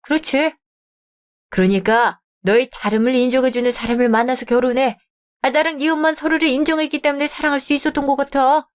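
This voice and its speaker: A supportive father of the bride, voiced by a performer in a radio drama. He is gentle and reassuring, a dad who always takes his daughter's side. His advice comes out calm and plain. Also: very high-pitched, a little fast, clear, resonant tone.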